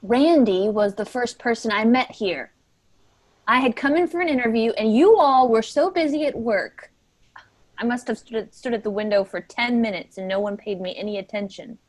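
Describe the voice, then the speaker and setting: A woman's voice: a little high, moderate pace, dry recording, close to an everyday voice. A woman playing a sensitive, guilt-ridden and defensive office worker in a staged play reading. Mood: wistful.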